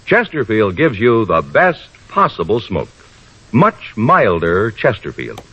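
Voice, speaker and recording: Husky american guy doing old radio announcing, lots of swing in the pitch, muffled vintage sound. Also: huge pitch swings, slow, a bit bright, faint music behind. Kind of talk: 1950s radio announcing, scripted. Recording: dull vintage radio broadcast recording.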